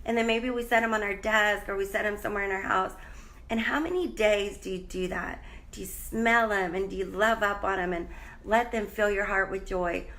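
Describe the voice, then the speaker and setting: A congested woman chats with her viewers in a loose, rambling way. She sounds a little stuffed up and drifts from thought to thought as she talks. Her pitch sits in the middle range. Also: pushed and bright. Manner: chatty, rambling, greets viewers by name. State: congested, slight cough. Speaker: life coach. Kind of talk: casual live-stream chat. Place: hotel room.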